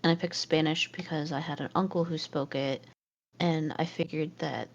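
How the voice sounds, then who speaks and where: A woman's voice: low-pitched, steady tone, normal speed, vocal fry, dull audio. A woman from California, a podcast guest, chatting casually and laughing often. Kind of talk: casual chat.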